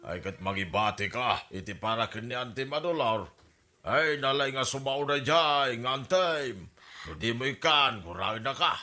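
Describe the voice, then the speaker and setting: A man's voice: mid-range voice, normal speed, bright, forceful tone, close to an everyday voice. A middle-aged man acting a cautious, homebody father in an Ilocano radio comedy drama. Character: cautious, homebody father reminding his grown daughter.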